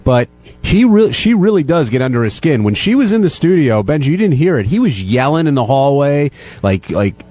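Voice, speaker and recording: A man's voice: on the high side, very animated, brisk, dark tone. A man hosting a casual radio talk show, prodding guests with questions.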